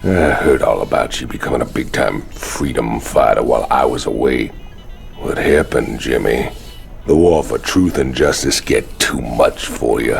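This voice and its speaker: An actor playing a big, swaggering outlaw convict, gravelly, with a taunting drawl. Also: male voice, moderate pace, faint music behind, heavily acted. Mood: boastful, needling. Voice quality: gravelly, very deep.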